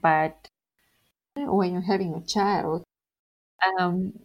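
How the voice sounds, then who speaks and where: Brief: young Bulgarian-accented woman, lowish voice, slow relaxed delivery. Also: dull audio. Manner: unhurried, laughs often while telling. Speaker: interview guest. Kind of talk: casual interview.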